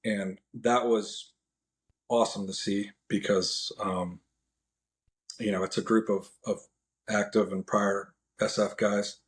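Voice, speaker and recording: An American man as a podcast guest, giving a casual, measured and somewhat halting first-hand account. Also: fairly low pitch, little pitch movement. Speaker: podcast guest, National Guard air traffic controller.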